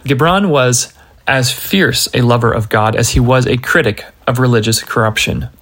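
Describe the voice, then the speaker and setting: Male article narrator, mid-pitched, measured and deliberate, reads in short phrases. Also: a bit bright. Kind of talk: scripted narration of a magazine article.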